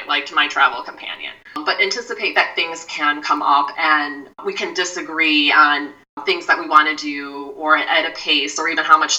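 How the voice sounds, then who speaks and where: A woman speaking as a mindfulness expert on a podcast, giving measured advice with frequent "you know" asides. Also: low-pitched, sharp tone, recorded from a distance, low-quality recording. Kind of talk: podcast conversation.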